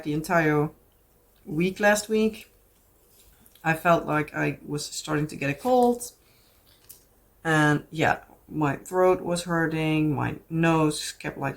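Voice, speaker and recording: Chatty Dutch-accented woman vlogger. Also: lowish voice. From Netherlands. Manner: chatty and rambling, many fillers and restarts. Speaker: craft vlogger. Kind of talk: casual chat to camera.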